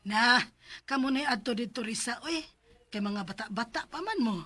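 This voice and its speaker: A tired elderly mother with a Cebuano accent. Her voice sits slightly high, and she grumbles reluctantly, as in a domestic radio drama. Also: expressive, moderate pace, a bit bright. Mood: weary grumbling. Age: older. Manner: weary, grumbling. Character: weary, reluctant elderly mother with aching knees.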